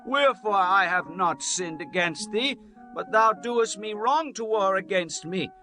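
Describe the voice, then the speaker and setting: Man acting a high, bright-voiced, defiant Israelite leader. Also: animated, a little slow, exaggerated voice. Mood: solemn, defiant. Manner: declamatory, formal. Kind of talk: dramatized scripture reading. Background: music.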